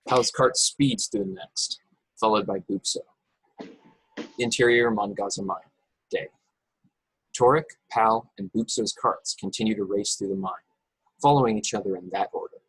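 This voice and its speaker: A man with a husky voice reading stage directions as narrator of a script table read over a video call. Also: fairly low pitch, moderate pace, pauses often, fairly bright tone, poor audio. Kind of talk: scripted narration with occasional stumbles.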